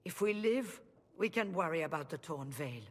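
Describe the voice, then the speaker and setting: An actress playing a proud, weary leader of rebel mages in a video game, speaking in a formal, measured way. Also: female voice, on the low side, expressive, big-room sound. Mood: resigned, defensive. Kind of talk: video game dramatic voice acting.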